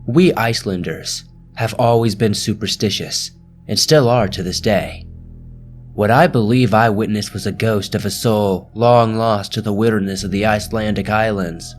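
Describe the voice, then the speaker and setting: A man hosting a scary story channel, reading ghost stories aloud in a slow, measured, hushed storytelling delivery. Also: fairly low pitch, soft background music. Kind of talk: scripted horror story narration.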